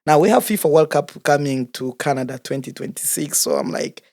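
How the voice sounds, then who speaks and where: A man's voice: fairly high pitch, creaky, a little mellow, dry recording. Earnest man from Congo, dry sound. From Democratic Republic of the Congo. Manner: earnest, unhurried storytelling with fillers. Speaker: guest, soccer coach and organizer. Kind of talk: casual interview conversation.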